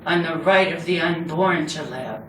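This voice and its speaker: A woman reading her own poetry aloud live, with measured, deliberate and dramatic phrasing. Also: deep voice, fairly bright tone. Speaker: poet reading own work. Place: indoor reading before a group. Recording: roomy, distant mic with background noise.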